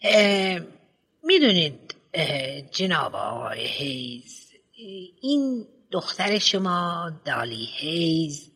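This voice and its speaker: Reader putting on a fussy old school head, rambling n pompous, bright husky voice. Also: medium pitch, very animated, exaggerated voice. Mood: rambling, lecturing. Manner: rambling, pompous, full of hesitant fillers. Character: fussy older school head, fleshy hands, false teeth, smoker. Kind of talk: audiobook character reading.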